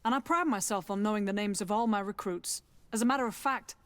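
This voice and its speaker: A woman's voice: medium pitch, fast, no echo, lightly acted. A woman playing a proud, guarded lieutenant who trains recruits in a video game. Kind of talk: video game dialogue acting.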